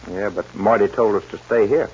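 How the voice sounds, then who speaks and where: An actor playing an inquisitive, easygoing cowboy sidekick in a 1940s radio western. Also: male voice, on the low side, fairly quick, darkish tone, breathy edge. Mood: doubtful, eager. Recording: muffled, noisy old radio recording.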